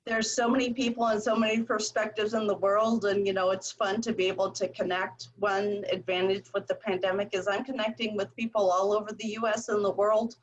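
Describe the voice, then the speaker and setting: A woman hosting a video call interview, talking in a relaxed, reflective way full of fillers. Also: mid-range voice, fairly quick, few pauses, highs cut off. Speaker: coach, host.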